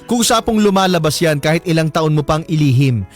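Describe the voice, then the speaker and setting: A man's voice: on the high side, lively intonation, fairly quick, faint music behind. A man with a Filipino accent hosting a casual radio advice show with joking banter. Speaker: radio host.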